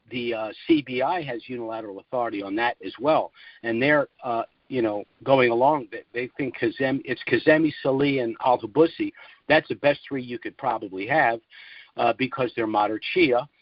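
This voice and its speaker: A man's voice: mid-range voice, dry recording. A man hosting a call-in conference, giving opinionated, emphatic and argumentative commentary in a conversational way over a phone line. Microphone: telephone conference line.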